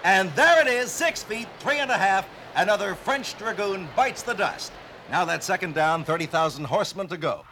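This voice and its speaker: Guy doing a loud hyped sports announcer, bit high, noisy background. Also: lively intonation. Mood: excited. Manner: brash, booming, rapid play-by-play. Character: excitable male TV sportscaster. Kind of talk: mock sports commentary.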